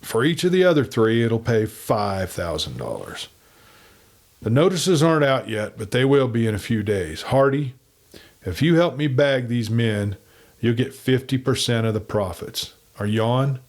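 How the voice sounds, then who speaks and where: A man reading aloud takes on a tough western lawman from a storybook. He is shrewd and unshaken, and gives orders bluntly in a mid-pitched voice. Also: very animated, normal speed. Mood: businesslike, confident. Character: shrewd, hard, steady male lawman in a western.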